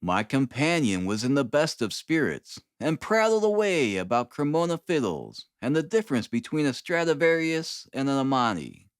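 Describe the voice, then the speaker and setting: A man narrating a classic novel as an audiobook, expressive, with deliberate, dramatic phrasing. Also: mid-range voice, clean tone, no room sound, clean studio sound.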